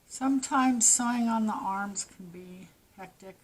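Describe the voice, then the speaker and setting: A woman's voice: medium pitch, unhurried, breathy edge. A woman with a stuffy nose and a fading voice, giving plain, practical step-by-step crochet instructions. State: stuffy nose, losing her voice. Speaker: crochet teacher. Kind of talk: step-by-step craft tutorial.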